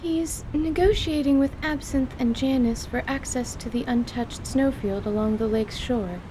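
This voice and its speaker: A woman playing an awkward, easily embarrassed young female mage in an audio drama, with halting, formal, precise wording. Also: high voice, background noise, close to an everyday voice. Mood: flustered, awkward.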